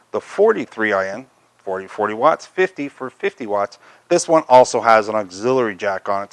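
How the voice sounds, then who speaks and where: A man's voice: medium pitch, a bit bright, dry recording. A man presenting a product review, talking through features in an unscripted, conversational, explanatory way.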